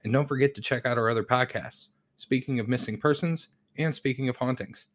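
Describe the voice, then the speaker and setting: A man co-hosting a casual true-crime podcast, musing in a relaxed way and reading the show's outro. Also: medium pitch, fairly quick. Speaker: podcast co-host.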